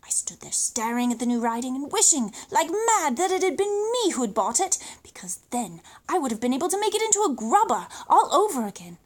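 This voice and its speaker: A British woman reads a children's book aloud as a storyteller. Her voice sits high and swoops up and down with lively range. The delivery is theatrical, leaning hard on key words for dramatic emphasis. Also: a little fast, few pauses. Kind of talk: expressive children's story reading.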